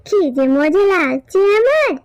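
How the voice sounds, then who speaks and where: A woman's voice: extremely high pitch, darkish tone, no room sound, highs cut off, exaggerated voice. A woman voicing a poor little village girl in a cartoon, whiny and pleading like a child. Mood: gleeful. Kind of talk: cartoon voice acting.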